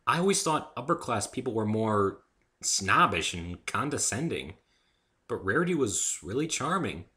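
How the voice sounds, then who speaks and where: A man's voice: fairly low pitch, sing-song, moderate pace, bright, forceful tone, pitched above the performer's own voice. A man playing a polite, curious, good-humoured human hero in a dramatic story reading, earnest and bantering. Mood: friendly, polite.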